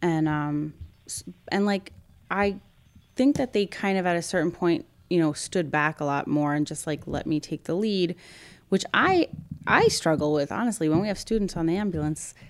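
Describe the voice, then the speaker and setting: Mulher nova-iorquina de voz um pouco grave, hesitante. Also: female voice, on the low side, lively intonation, moderate pace. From Brooklyn, New York. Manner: hesitant, many fillers and restarts. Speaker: EMS worker. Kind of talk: casual podcast conversation.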